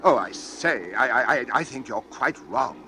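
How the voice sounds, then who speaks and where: An actor playing a timid, fussy suburban gentleman with a British accent, hesitant and stammering, in an old-time radio drama. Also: mid-range voice, animated, moderate pace. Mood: mildly indignant. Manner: hesitant, stammering, politely flustered. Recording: noisy vintage radio recording.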